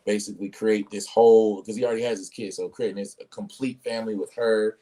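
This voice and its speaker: A man with a low, mellow voice speaks on a podcast panel. He is measured and lays out his points at length. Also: fairly even intonation. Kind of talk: casual discussion.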